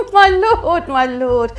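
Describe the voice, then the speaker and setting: A woman's voice: extremely high pitch, very animated, very slow, a bit bright, soft background music, full character voice. A woman acting a fond, amused noblewoman parent in a Shakespearean radio play. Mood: fond amusement.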